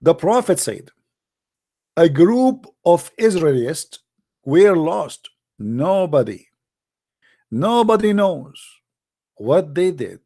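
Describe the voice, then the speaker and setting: A man with a dark, creaky voice and as his hosts a live call-in show. He argues emphatically, repeating his phrases with a mocking edge. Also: on the high side, big pitch swings, slow pace, long pauses. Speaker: host of a religious debate call-in show. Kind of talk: live call-in commentary and polemic.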